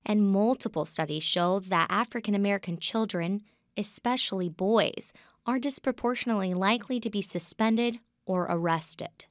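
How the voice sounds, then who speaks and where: Woman, reporter voice-over, measured, dry studio sound. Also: medium pitch, dry recording. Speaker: news reporter. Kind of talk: scripted news narration.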